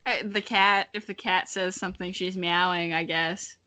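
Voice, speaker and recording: A woman's voice: on the low side, steady tone, normal speed, pushed and bright. A young woman co-hosting a podcast in casual chat, giggly and self-deprecating, swearing freely. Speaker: podcast co-host.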